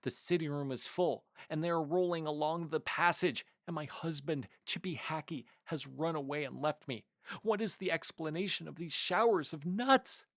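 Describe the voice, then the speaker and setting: A man's voice: fairly high pitch, normal speed, fairly bright tone, no echo. A little chipmunk housewife, as a man reads her, talks in a fussy, flustered way. It is a children's storybook voice, recorded dry. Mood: flustered complaint.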